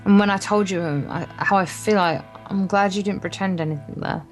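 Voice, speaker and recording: A woman's voice: medium pitch, a little fast. A woman reading a visual novel aloud in an informal let's play, occasionally stumbling over the words. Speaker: guest co-player reading the narration aloud. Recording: guitar music behind the voice. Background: game's guitar music.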